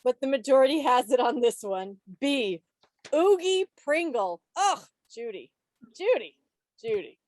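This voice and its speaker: Playful, higher-voiced woman quizmaster. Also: lively intonation, measured pace, a little mellow, dry recording. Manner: playful, teasing, mock-dramatic asides. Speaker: quiz host at a club meeting. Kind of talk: lively trivia quiz hosting. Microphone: video call.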